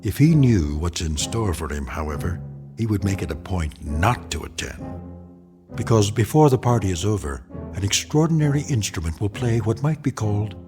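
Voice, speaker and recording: An actor gives scripted radio drama narration as a wry, portentous storyteller. The voice is fairly low, with measured phrases that rise and fall widely over clear music. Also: male voice, lively intonation, lightly acted. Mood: wry, ominous. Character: wry, ominous male narrator of eerie tales.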